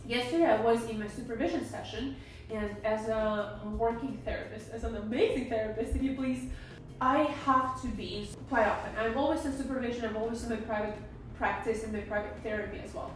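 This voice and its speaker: A woman, raspy from singing, chatting casually and emphatically to camera as a psychologist vlogger. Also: on the high side, fairly even intonation, fairly quick, recorded from a distance. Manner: chatty, emphatic, many asides. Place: indoors at home, sunny room. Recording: very echoey room, mic set back.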